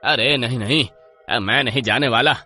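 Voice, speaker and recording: An actor playing a lazy, cowardly village husband in a horror story, whiny, blustering and given to stammering. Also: male voice, mid-range voice, normal speed, pushed and bright, soft background music. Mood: scared bluster.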